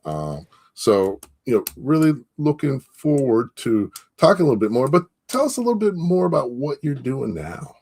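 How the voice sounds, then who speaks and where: A man's voice: mid-range voice, big pitch swings, normal speed, few pauses, darkish tone, breathy edge. A man hosting a business interview over a video call, encouraging and loose, with many fillers. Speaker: interview host. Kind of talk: casual interview.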